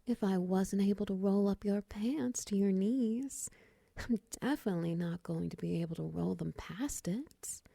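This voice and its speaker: A woman playing a friendly, teasing half-spider monster in an intimate audio roleplay, soft-spoken, breathy and often close to a whisper. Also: mid-range voice, dry recording. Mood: caring, reassuring. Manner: gentle, teasing, slow murmurs with soft chuckles.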